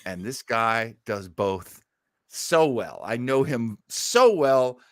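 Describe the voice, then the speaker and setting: A man's voice: mid-range voice, animated, slow pace, no room sound, clean studio sound. An American man hosting a casual radio fan show, speaking in a theatrical, effusive and self-mocking manner. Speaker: host. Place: indoors at home.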